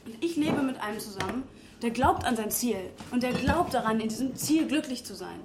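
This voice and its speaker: A woman's voice: on the high side, animated, a little fast, noisy background. An actress in her twenties playing a frustrated, disillusioned young graduate in naturalistic radio drama, arguing and questioning. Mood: subdued, insistent. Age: 20s. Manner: argumentative, questioning.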